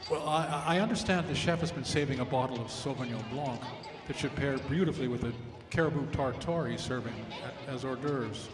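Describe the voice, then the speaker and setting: Man acting courteous earnest minister, formal phrasing, mid pitch, radio play, echoey hall with audience. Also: a little fast, few pauses, a crowd in the background, close to an everyday voice. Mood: tender. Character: courteous, gently earnest 1900s minister and devoted husband. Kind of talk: old-time radio drama acting.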